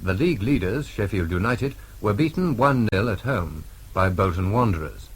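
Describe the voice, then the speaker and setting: A British man reading a formal radio news bulletin in a measured, old-fashioned mid-century broadcast style. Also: on the low side, expressive, breathy edge. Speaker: newsreader.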